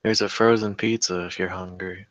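Man reading as a weary mother, low-voiced. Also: fairly even intonation, normal speed, a bit bright, no echo, dull audio. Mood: caring. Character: weary working mother, concerned and principled. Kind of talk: table read of a TV drama script.

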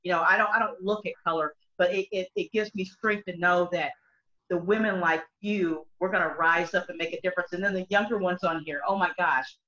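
A woman's voice: fairly low pitch, steady tone, fairly quick, bright, forceful tone. A middle-aged woman, a country singer, talking earnestly on a video call from home, with many restarts. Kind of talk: casual video call discussion. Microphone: laptop webcam mic on a video call. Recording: dull lo-fi video call audio.

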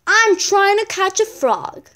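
A woman voicing an eager, curious little boy in a read-aloud picture book, with excited questions. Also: very high voice, slow, voice pushed up, exaggerated voice.

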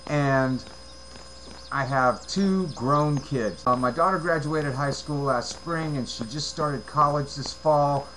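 Rambling, halting man in his forties. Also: a little high, fairly bright tone, slight background noise, low-quality recording. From United States. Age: 40s. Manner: rambling, halting, with many fillers and repeated words. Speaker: let's play gamer. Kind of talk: casual gameplay commentary. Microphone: headset.

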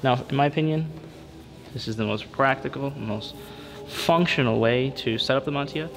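A man in a church calmly demonstrating how to fold and lay out vestments, repeating steps and checking in with "okay?". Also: medium pitch, moderate pace, creaky. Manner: patient, step-by-step, repeats himself with frequent "okay?" checks. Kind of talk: hands-on instructional demonstration. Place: church interior at a table. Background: background music.

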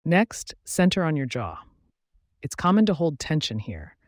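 A man with a fairly high voice leads a guided meditation in a calm, unhurried way, pausing between phrases. He is close to the mic in a dry room. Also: dry recording. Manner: slow, soothing, even delivery with pauses.

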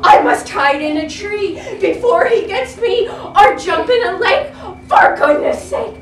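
Storybook elephant, acted by a woman: big, apologetic, skittish, very high swooping voice, roomy sound. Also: expressive, measured pace. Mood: terrified. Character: big apologetic, easily frightened, giggly elephant. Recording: roomy, noisy classroom, distant mic.

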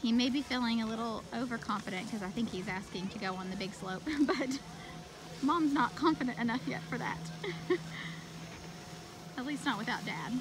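A woman's voice: on the high side, moderate pace, pauses often, background noise. A woman in her thirties, a mother filming her family, chatting in a rambling way and laughing often. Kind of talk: casual narration while filming. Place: outdoor ski slope at night. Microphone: phone camera held by the speaker.

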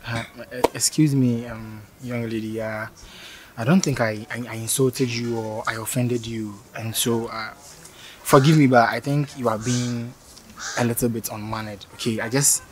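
A courteous but awkward young man stumbles over his words, repeating himself as he speaks, as an actor plays him. His mid-range voice sits over clear crowd chatter. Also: mid-range voice, steady tone, normal speed, close to an everyday voice, poor audio. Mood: pleading. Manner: stammering and apologetic. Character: polite, awkward young man, hesitant and stammering. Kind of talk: scripted skit acting.

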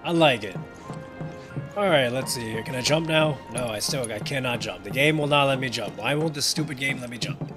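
A young man casually commenting on a game he plays, animated, joking, swearing and rambling. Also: mid-range voice, fairly quick. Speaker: gaming video host. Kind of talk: casual let's play commentary. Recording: voice over game audio with music.

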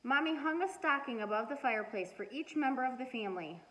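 Female storyteller, highish voice, expressive picture-book read, noisy living room recording. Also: quick pace. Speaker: teacher reading aloud. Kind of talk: children's storybook read-aloud.

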